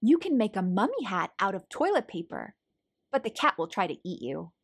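A woman reading a children's picture book aloud as a storytelling host, in an expressive manner for young listeners. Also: mid-range voice, a little fast. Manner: animated, clearly paced storytelling for young children.

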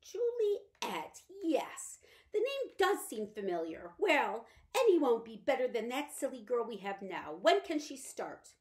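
A fragile, imperious invalid mother from a storybook, put on by a woman reading aloud. Her drawn-out, languid lines climb high and loud and then swing low again. She flares up shrilly and without warning. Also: sing-song. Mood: dismissive. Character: fragile, erratic, imperious wealthy mother, bedridden.

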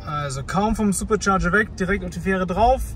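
A man's voice: sits high, fairly quick, fairly bright tone, faint music behind. A man vlogging casually on a road trip, chatty and enthusiastic, with plenty of fillers, talking from his car and at charging stations.

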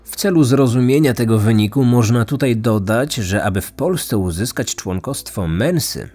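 A man's voice: on the low side, darkish tone. A man narrating a scripted true crime story as a podcast host. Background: background music.

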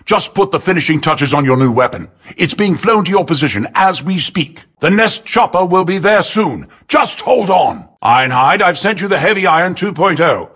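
A precise robot inventor in a video game. The voice is husky and fairly high, with formal, fussy phrasing and lively swings in pitch. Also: male voice, normal speed, few pauses, exaggerated voice. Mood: precise, commanding. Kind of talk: video game mission dialogue.

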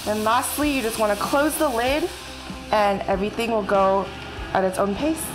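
A young woman walks through a recipe in a sunny home kitchen, giving friendly step-by-step instructions as she works. Her voice sits at an ordinary middle pitch. Light music plays underneath, and food sizzles on the grill. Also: moderate pace, poor audio. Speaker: chef demonstrating a recipe. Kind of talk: casual cooking demonstration. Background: background music and sizzling food.

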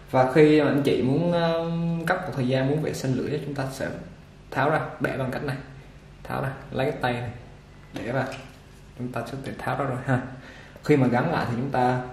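Guy casually unboxing clippers, middle voice, lots of uh's, roomy echo, motor buzzing loud behind. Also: mid-range voice. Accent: Vietnamese. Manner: relaxed, talks while handling the product, many fillers. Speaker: product reviewer for a barber supply shop. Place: indoor shop table with clipper boxes. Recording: echoey room with machine noise. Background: clipper motor buzz and clicks.

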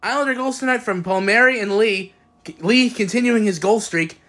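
A young man giving casual, excitable postgame recaps as a sports fan, recorded on a phone at home. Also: sits high, moderate pace. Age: young adult. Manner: excitable, exclamatory fan cheering. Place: small indoor room at home. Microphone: phone at arm's length.

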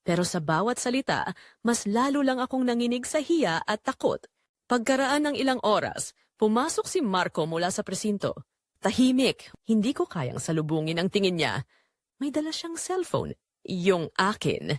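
A woman's voice: medium pitch, very animated, moderate pace, dry recording, studio quality. A woman reading dramatic first-person scripted narration as the narrator of an audio drama.

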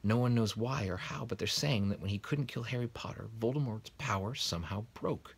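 A man's voice: medium pitch, a little fast, husky, voice pushed up. A man voicing a stern, anxious older female professor of witchcraft from a storybook, prim and insistent. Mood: distressed.

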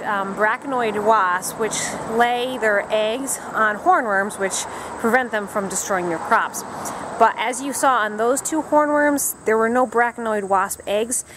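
A woman in her thirties narrating a casual garden vlog, speaking into a handheld camera outdoors. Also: fairly high pitch. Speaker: vlogger. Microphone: handheld camera at arm's length. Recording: outdoor camera audio with background noise.

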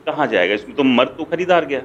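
Man interviewer with a steady mid voice. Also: mid-range voice, fast, muffled recording, a little hiss or hum. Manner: interjects to steer the guest. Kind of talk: studio interview. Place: small studio set. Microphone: desk mic on boom arm.

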